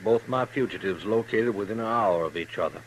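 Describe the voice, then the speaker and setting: On a faintly hissy vintage radio western, a man plays an officious visiting lawman. His voice is fairly low and his delivery is formal, brisk and sure of itself. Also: close to an everyday voice, rough recording. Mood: brisk, satisfied. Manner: formal, crisp, self-assured. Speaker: radio drama actor. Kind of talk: 1950s radio western acting. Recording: hissy 1950s radio broadcast recording.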